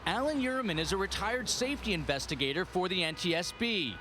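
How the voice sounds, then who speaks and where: High-pitched, animated American newsman. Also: a little fast, a little hiss or hum. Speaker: investigative TV news reporter. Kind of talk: scripted news narration.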